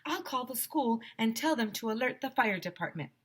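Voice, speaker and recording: A woman voicing a brisk male schoolteacher from a children's storybook, commanding and urgent. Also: on the high side, expressive, slightly rough, pitched above the performer's own voice.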